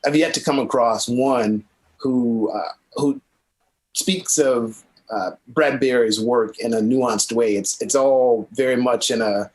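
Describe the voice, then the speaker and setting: A middle-aged man speaking as a panelist on a video call, in hesitant, measured phrases with repeats. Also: medium pitch, steady tone, rough recording. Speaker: academic panelist. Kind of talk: conversational panel commentary. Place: indoor office, video call.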